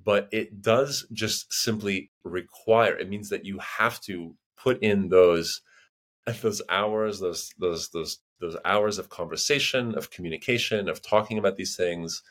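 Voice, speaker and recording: A man with an American accent talks in a fairly low voice as a podcast guest. His speech is loose and meandering, full of restarts and filler phrases. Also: unhurried, fairly bright tone. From United States. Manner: rambling, full of "you know" and restarts. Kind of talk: casual conversation.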